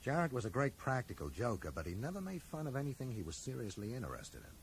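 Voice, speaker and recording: An actor playing a level-headed, earnest bookshop owner in a radio drama, explaining things in a measured way. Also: male voice, mid-range voice, wildly swooping pitch. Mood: earnest, reflective. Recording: mild hiss, 1980s radio drama.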